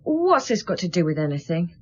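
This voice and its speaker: Husky, defensive married woman. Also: lowish voice, big pitch swings, fairly quick, muffled recording. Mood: irritated. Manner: sarcastic, curt, evasive. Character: defensive, prickly married woman, evasive under questioning. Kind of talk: radio drama acting.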